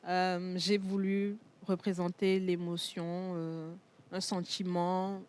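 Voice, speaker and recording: A woman from Togo whose first language is Tchokosi, a perfume entrepreneur speaking as a guest in a TV studio interview, pausing often on fillers. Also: on the low side, flat delivery, darkish tone. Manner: hesitant, many fillers and restarts.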